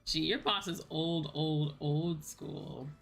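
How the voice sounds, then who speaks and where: A woman's voice: deep voice, fairly even intonation, slow. A young woman chatting casually on a livestream, sounding sleepy, rambling and playfully repeating herself. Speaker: VTuber livestream host. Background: background music.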